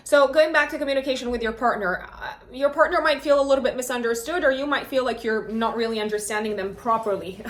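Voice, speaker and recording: A woman from Romania, a native Romanian speaker, talks with a fairly high-pitched voice in a noticeably echoey room. She hosts a horoscope in a chatty, informal way, repeating words as she thinks aloud and keeping a playful touch. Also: fairly bright tone. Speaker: astrologer and host. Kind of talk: casual, chatty monthly horoscope talk.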